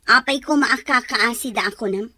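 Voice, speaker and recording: A woman playing a harsh, quick-tempered stepmother in an audio drama, with an accusing, scolding manner. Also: fairly high pitch, dry recording. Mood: irritated. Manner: sharp, accusing questioning. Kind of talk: Ilocano audio drama acting.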